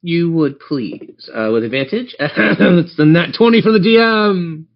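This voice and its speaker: A man's voice: fairly high pitch, lively intonation, unhurried, gravelly. Male game master, slightly muffled sound. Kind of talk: improvised tabletop game narration.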